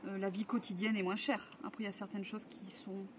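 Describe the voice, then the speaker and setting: A woman's voice: mid-range voice, little pitch movement, fast, a crowd in the background. A woman, chatting casually and a little hesitantly, repeating words for emphasis. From France. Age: 30s. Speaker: vlog interviewee, expatriate. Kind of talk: casual chat. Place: outdoors in a residential neighbourhood. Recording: noisy outdoor recording.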